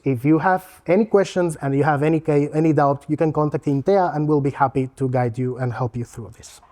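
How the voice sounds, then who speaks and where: A man's voice: a little high, a little mellow. A man from Spain with a Spanish accent, giving a casual, joking presentation as a learning technology consultant. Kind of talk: conference presentation.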